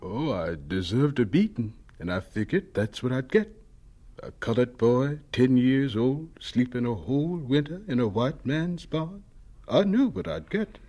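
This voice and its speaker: A man playing a gentle, humble older professor reminiscing in a radio drama, husky, reflective and plainspoken. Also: medium pitch, lively intonation, measured pace.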